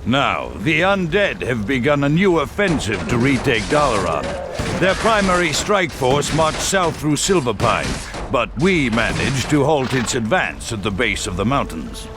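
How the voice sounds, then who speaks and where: An actor playing a haughty, bigoted human commander who gives contemptuous orders in a fantasy video game cutscene. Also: male voice, medium pitch, sing-song, unhurried. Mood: commanding. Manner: imperious, contemptuous, clipped commands. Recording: music behind. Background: game music.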